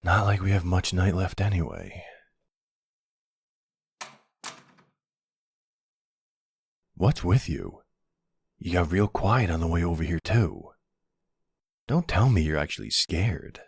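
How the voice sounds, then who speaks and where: Man acting as a gravelly, very low flirty vampire. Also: sing-song, no room sound, clean studio sound, full character voice. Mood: teasing.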